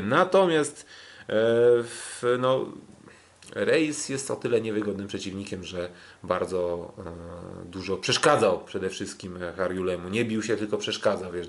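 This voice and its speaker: A man hosting a sports podcast, commenting on kickboxing bouts in a halting, hesitant way. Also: on the low side.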